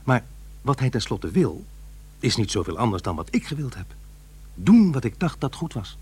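A man's voice: medium pitch, sing-song, normal speed, a little mellow, breathy edge. An actor playing an aggrieved middle-aged divorced father in a radio play, muttering and questioning himself. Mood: pondering. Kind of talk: naturalistic radio play acting.

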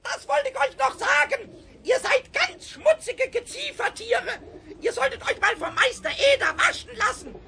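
A man voicing a cheeky, excitable little male kobold in a 1970s children's radio play, squeaky and creaky, stammering and exclaiming. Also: normal speed, a little hiss or hum, heavily acted. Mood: cheeky taunting.

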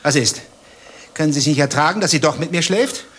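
An actor playing a jealous, obsessive husband in a satirical German radio play, accusatory, insistent and pedantic. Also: male voice, on the high side, fairly quick, fairly bright tone, slight background noise, close to an everyday voice. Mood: insistent, provoking. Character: jealous, obsessive husband interrogating his wife and her suspected lover.

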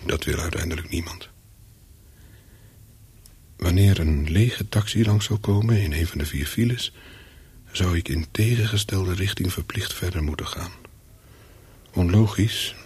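A hunted spy courier in a radio thriller, with a low and very husky voice. He narrates in the first person, cool and calculating, in short deliberate sentences. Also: a little mellow, heavily acted. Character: hunted male courier of smuggled microfilms, wary, coolly calculating.

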